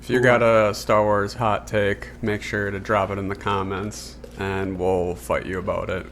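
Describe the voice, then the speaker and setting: A man's voice: fairly low pitch, level tone, moderate pace, fairly bright tone, a little hiss or hum. A man co-hosting a casual podcast, easygoing and playfully argumentative. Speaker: podcast co-host. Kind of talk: casual chat.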